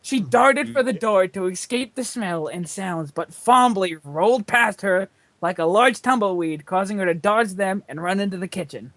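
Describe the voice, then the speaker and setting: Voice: medium pitch, moderate pace, fairly bright tone, no room sound. Dry, close-sounding comic storyteller reading aloud. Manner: theatrical, often breaking into laughter. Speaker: author reading own fan fiction aloud to friends.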